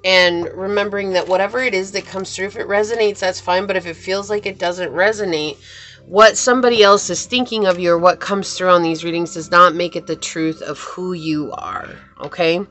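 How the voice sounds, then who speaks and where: A woman's voice: lowish voice, fairly even intonation, brisk, fairly bright tone. A young woman with a scratchy voice, chatting casually and reassuringly as she gives a tarot reading. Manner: chatty, reassuring, laughs easily. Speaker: tarot reader.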